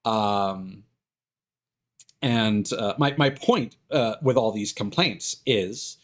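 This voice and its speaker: A man live streaming with casual, opinionated commentary, rambling along in a halting, stop-and-start way. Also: lowish voice, animated, a bit bright.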